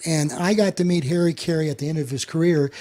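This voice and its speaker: Male sports talk host, high-pitched voice, chatty anecdotal delivery with repeated words. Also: steady tone, quick pace, warm tone. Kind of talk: casual chat and anecdote telling.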